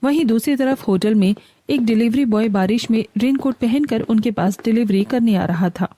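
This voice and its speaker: A woman's voice: a little high, normal speed, dark tone, a little hiss or hum. A woman storyteller reading scripted narration for a cartoon family story.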